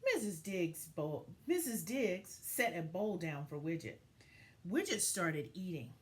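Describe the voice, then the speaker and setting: A woman teacher giving an expressive read-aloud lesson for young children, with deliberate, dramatic phrasing. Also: fairly low pitch.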